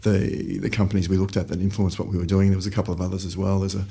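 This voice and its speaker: A middle-aged man, a company founder giving relaxed, story-style answers in an interview, recorded in an office. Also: low voice, very fast, vocal fry, slightly rough. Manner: relaxed storytelling with frequent restarts. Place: office in a shared workspace.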